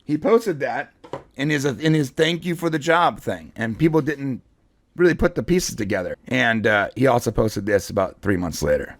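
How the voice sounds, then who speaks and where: A man's voice: medium pitch, animated, normal speed, dry recording. A man giving casual pop culture commentary as a show host, explaining things in an enthusiastic, conversational way. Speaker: show host and comic book fan commentator.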